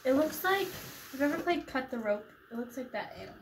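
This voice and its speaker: A woman's voice: high voice, animated, fast, slight background noise. A young woman co-hosting a casual snack taste-test, upbeat and chatty, recorded at a table in a small room. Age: young adult. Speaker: co-host.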